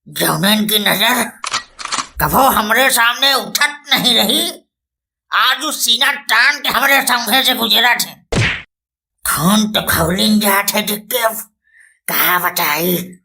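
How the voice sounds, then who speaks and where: Creaky, haughty old village patriarch played by a woman. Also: mid-range voice, background noise. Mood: indignant. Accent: Awadhi. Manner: indignant, sarcastic scolding with drawn-out rhetorical questions. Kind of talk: comic cartoon dialogue.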